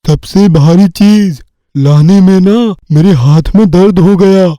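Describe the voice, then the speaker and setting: Gullible teenage village boy for a cartoon fairy tale male actor: earnest, unhurried, mellow. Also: high-pitched, no echo. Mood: naive. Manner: naive, slow-witted, earnest. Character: gullible simple-minded eighteen-year-old village lad, eager to please.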